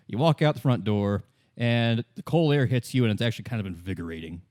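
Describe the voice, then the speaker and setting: A man's voice: fairly low pitch, fast talker, darkish tone, no room sound. Casual man game master, dry close sound. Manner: conversational, colloquial. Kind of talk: casual improvised narration of a tabletop-style game.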